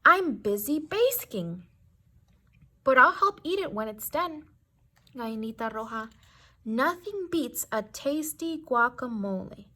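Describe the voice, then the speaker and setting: A woman's voice: high voice, lively intonation, slow pace, pushed and bright, heavily acted. A woman voicing a lazy, sun-loving iguana in a storybook, chirpy and dismissive. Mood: breezy refusal.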